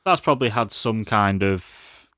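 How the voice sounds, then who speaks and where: A British man with a slightly low voice chats about films as a podcast co-host. His delivery is informal, with a wry, jokey streak. The recording is very dry and free of room sound. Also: lively intonation, unhurried, pushed and bright, dry recording. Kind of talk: casual chat about films.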